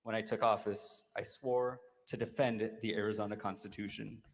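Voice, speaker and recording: A man who is a state legislator, giving a formal, deliberate floor speech to explain his vote. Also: on the low side, fairly even intonation. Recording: long reverb in a legislative chamber.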